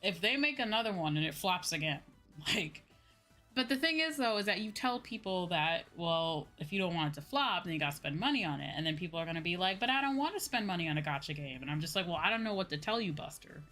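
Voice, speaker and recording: A woman's voice: on the low side, expressive, fast talker, pushed and bright. A woman livestreamer chatting casually while she games, talking in a colloquial, slangy and mildly exasperated way. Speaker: VTuber streamer. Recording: music playing behind the voice. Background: background music.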